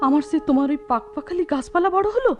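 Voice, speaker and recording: A woman's voice: high voice, animated, fast talker, lo-fi sound. An actress playing a teasing, impatient young village woman in love in a radio drama, pouting, with a rural Bangladeshi accent. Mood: pouting, annoyed. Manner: coquettish, pouting.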